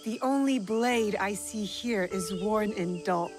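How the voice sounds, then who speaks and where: Woman acting a stern, sharp-tongued female warrior. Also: mid-range voice, unhurried, natural voice. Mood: irritated, scolding. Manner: curt, earnest, dryly scolding. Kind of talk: dramatic video game dialogue. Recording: music playing behind the voice.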